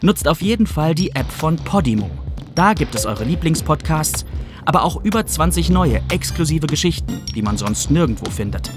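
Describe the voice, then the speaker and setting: A man's voice: fairly high pitch, very animated, moderate pace. A man reading a scripted promo as a podcast announcer. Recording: music bed under studio voice-over. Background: music.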